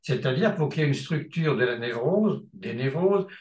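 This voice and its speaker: A man lecturing at a seminar in a reverberant room, with a middle-pitched voice. He thinks aloud, restarts his phrases and pauses on filler sounds, then drives a point home by repeating it. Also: expressive, a little mellow. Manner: hesitant, many restarts and filler sounds, emphatic repetition. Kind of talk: spontaneous seminar teaching.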